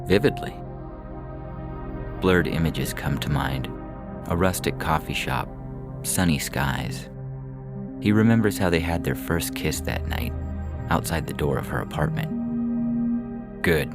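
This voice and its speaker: A man's voice: a bass voice, expressive, a little slow, creaky, pauses often. A man reading a scripted short story as a narrator, with music behind him. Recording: music bed under the reading.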